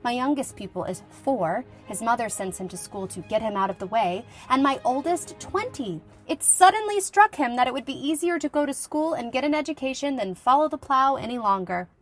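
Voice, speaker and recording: A woman playing a lively young schoolteacher reading out her letter in a conversational, wryly humorous way, in an acted audiobook. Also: high-pitched, brisk, few pauses. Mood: wry griping. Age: young adult. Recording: music playing behind the voice.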